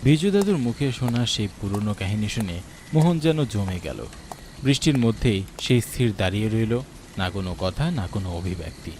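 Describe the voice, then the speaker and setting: A man's voice: lowish voice, animated, normal speed, a little mellow. Dramatic male horror narrator over rainy noise. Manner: dramatic, descriptive. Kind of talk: scripted horror story narration.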